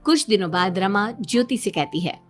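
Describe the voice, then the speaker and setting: A woman's voice: mid-range voice, expressive, moderate pace, soft background music. A woman narrating a scripted story as the storyteller.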